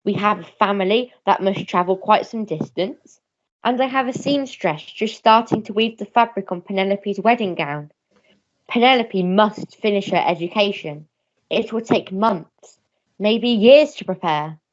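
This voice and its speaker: A woman's voice: mid-range voice, unhurried, close to an everyday voice. A woman playing a pompous, protective father, an army Major, with formal, proper speech in a radio melodrama. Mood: brisk, insistent. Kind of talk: melodrama radio play acting. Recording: dull lo-fi recording.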